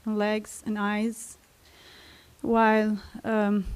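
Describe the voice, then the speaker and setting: A woman from Syria, telling a personal story to an audience in a slow, reflective way. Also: mid-range voice, completely flat delivery, smooth. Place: library event stage.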